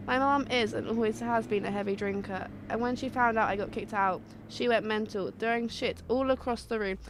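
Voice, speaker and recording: Woman acting nosy young hitchhiker, blunt, kinda high voice, radio drama, bit of car noise. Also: fairly bright tone. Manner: direct, questioning, frank. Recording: noisy background of car and road. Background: car driving ambience.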